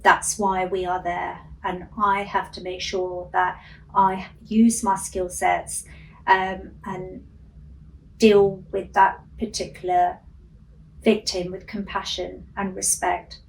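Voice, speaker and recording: Earnest woman officer, gravelly and distant. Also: medium pitch, level tone, slow. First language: Urdu. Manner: earnest, many ums. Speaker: police officer. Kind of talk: radio interview. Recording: distant mic with faint noise.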